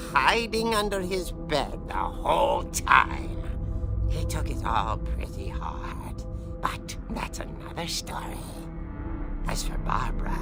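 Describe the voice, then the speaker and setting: A man spinning a creepy comic-book tale with relish, his slow, gravelly voice swooping wildly up and down. Loud music swells behind him throughout. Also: mid-range voice. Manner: theatrical, gleeful horror-host delivery. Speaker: narrator. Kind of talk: spooky comic-book narration.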